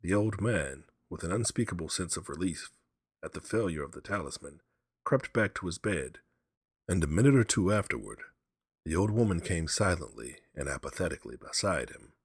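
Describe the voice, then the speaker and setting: A man reads a horror story aloud as a dramatic narrator. His voice is deep and grainy, moving expressively through the prose, recorded close and dry. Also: lively intonation, moderate pace, slightly rough, dry recording.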